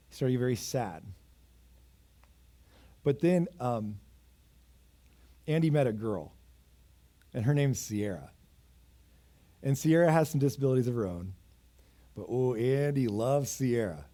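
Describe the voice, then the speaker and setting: A man in his thirties preaching a sermon, moving between raised urgent passages and quiet, intimate ones, with self-deprecating asides. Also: medium pitch. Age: 30s. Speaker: pastor. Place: church.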